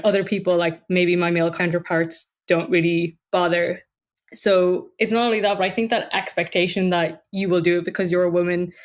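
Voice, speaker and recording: A woman with a slightly low voice answers interview questions. She speaks earnestly and conversationally, thinking through her points as she goes. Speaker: podcast interviewee, tech worker.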